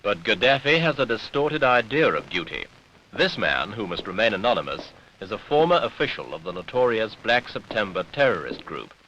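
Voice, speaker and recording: A man's voice: lowish voice, very animated, normal speed, sharp tone, highs cut off, a little hiss or hum. A British man reading scripted newsreel narration with pointed, dramatic phrasing on an old 1970s soundtrack.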